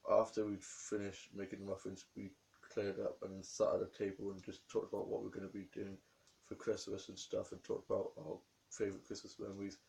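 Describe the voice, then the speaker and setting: A young man with a croaky voice from a cold, rambling through a diary vlog about his day. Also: on the low side, a little mellow, rough recording. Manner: rambling, run-on storytelling. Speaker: vlogger.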